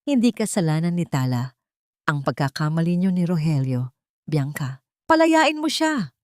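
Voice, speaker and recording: A woman's voice: low voice, sing-song, moderate pace, no room sound, clean studio sound. A woman voicing a frightened but determined mother who pleads, in a dramatic audio story. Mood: desperate.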